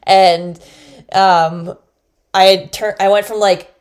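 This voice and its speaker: A woman's voice: medium pitch, fairly even intonation, slow pace. Late twenties woman, teacher, laughs a bunch, swears, super casual chat. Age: 20s. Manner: chatty, laughs often, swears casually, many fillers.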